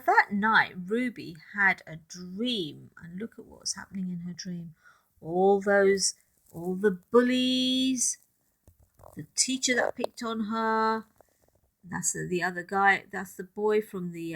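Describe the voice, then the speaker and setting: A woman reading a picture book aloud expressively, pausing to speak directly to child listeners. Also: medium pitch, rough recording.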